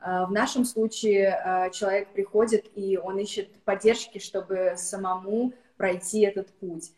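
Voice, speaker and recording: A woman's voice: mid-range voice, fairly even intonation, darkish tone. Russian-native woman, echoey video call. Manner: halting, frequent filler sounds. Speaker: guest, therapist-coach. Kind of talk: casual interview over video call.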